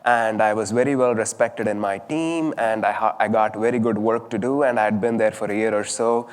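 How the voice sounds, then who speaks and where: A man's voice: on the low side, fairly even intonation, brisk, smooth. A man from southern India, a Tamil speaker, giving a thoughtful, story-driven spiritual talk with frequent fillers. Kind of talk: reflective sermon talk. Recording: long hall reverb.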